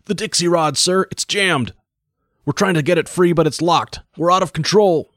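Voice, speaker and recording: A man voicing a panicked young ship's mate, pitched high and shrill in a storybook reading. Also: moderate pace, a bit bright, dry recording, studio quality.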